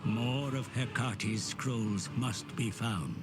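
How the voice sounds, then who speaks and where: A man giving grave, scripted narration for game cutscenes, slow and measured, dropping at times to a hushed whisper. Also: medium pitch, over music. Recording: studio narration over loud orchestral score.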